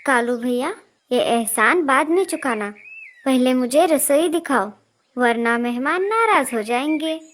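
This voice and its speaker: A woman voicing a selfless, dutiful female nightingale in a children's cartoon story, earnest and brisk. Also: high-pitched, lively intonation, a bit bright. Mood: brisk, urging.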